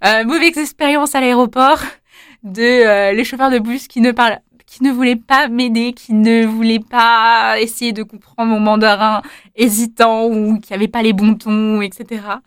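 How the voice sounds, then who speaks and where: A woman's voice: a little high, steady tone, normal speed, clean tone, no room sound, clean studio sound. A young woman answering interview questions casually, hesitant, with many fillers and restarts. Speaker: interviewee, former volunteer.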